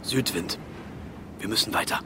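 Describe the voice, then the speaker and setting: An actor playing a grumbling, wry old soldier with a big appetite in a German radio play. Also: male voice, deep voice, moderate pace, very noisy, lightly acted. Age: older. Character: old soldier, hungry, grumbling, gloomy male travel companion.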